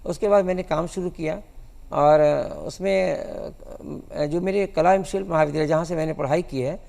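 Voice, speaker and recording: Creaky high-pitched man, halting explainer. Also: darkish tone. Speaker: guest cartoonist. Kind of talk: studio interview.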